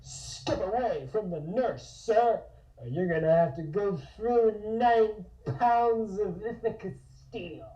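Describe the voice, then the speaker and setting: A man's voice: sits high, slow, mellow. A man playing an earnest, awkward young man at an online script table read. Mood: protective. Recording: muffled, echoey room.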